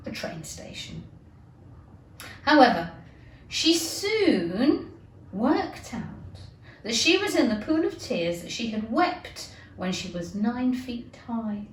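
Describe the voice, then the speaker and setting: A woman reads a children's story in a deliberate, dramatic way. Her voice is fairly high and takes its time. It is recorded in an echoey room, away from the microphone. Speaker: teacher reading aloud to children. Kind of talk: expressive children's storybook narration. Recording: echoey room, distant mic, hiss.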